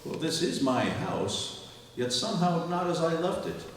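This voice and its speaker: An actor playing a bewildered, old-fashioned gentleman uncle with formal, theatrical diction in an old-time radio comedy. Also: on the high side, very animated, measured pace, a little hiss or hum. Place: live library show before an audience. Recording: echoey live hall, distant mic, loud music.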